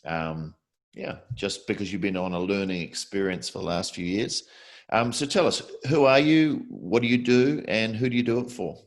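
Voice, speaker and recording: Low-voiced male host in his fifties, rambling and casual, laptop mic. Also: moderate pace. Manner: chatty, rambling, many fillers and restarts. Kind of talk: video call interview.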